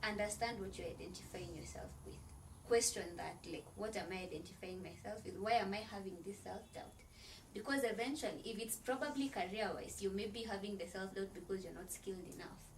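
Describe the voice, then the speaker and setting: An earnest woman speaks as a podcast guest, laying out her points one by one in a preacherly way, with plenty of "like" between her phrases. Her voice sits at an ordinary middle pitch. She is picked up from a little way off the mic, with a faint hiss of background noise behind her. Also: fairly quick, distant mic, rough recording. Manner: loose filler-heavy phrasing with frequent "like". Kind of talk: faith-based motivational conversation.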